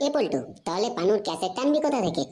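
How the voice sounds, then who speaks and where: A woman's voice: medium pitch, sing-song, rapid-fire, warm tone, faint music behind, lightly acted. A woman voicing a cheeky, suspicious, foul-mouthed young boy in a Bengali comedy cartoon, slangy and insolent. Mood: puzzled, challenging.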